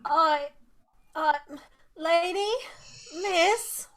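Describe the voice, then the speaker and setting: A woman's voice: extremely high pitch, extremely slow, a bit bright, heavily acted. A woman playing a gossipy, deferential housemaid in a radio drama, chatty and hesitant. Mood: nervous, confiding. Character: deferential, gossipy working-class English housemaid.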